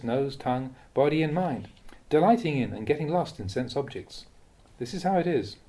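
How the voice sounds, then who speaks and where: A man with a soft, mellow voice reads a Buddhist teaching aloud. His delivery is measured and contemplative, yet the pitch rises and falls expressively as he poses rhetorical questions. He sounds like a monk giving a reflective talk, at a medium pitch. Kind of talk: read-aloud dharma talk.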